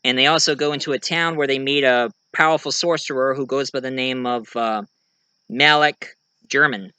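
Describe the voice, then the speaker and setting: A man giving a casual show-and-tell of his own fiction, rambling and halting mid-sentence to correct himself. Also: medium pitch, fairly even intonation, pushed and bright, clear, resonant tone, dry recording. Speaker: amateur writer and online video creator.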